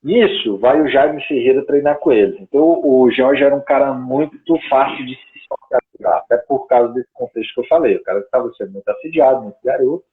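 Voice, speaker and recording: A man's voice: mid-range voice, brisk, hardly any pauses. A man telling a long story in a casual, rambling way, often checking in with little tag questions, as a guest on a call. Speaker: guest researcher. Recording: tinny phone-like call audio.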